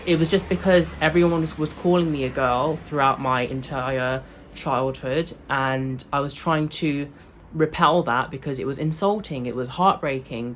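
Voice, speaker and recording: A woman's voice: deep voice, a little hiss or hum. A young woman from England, chatting loosely to camera in a vlog, full of fillers and asides. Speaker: vlogger. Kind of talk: casual chat to camera.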